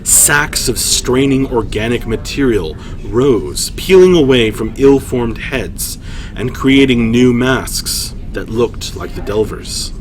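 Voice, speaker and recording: A man's voice: mid-range voice, animated, slow pace, soft background music. A man hosting a live story reading, narrating and chatting casually with hesitant, self-deprecating asides. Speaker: storytime host and reader.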